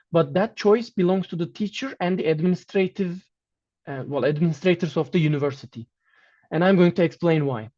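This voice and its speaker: A man whose first language is Turkish, giving an academic lecture in English over slides, measured and pausing, often restarting his phrases. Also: high voice, mellow, muffled recording. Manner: measured, with frequent restarts and fillers. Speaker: guest lecturer.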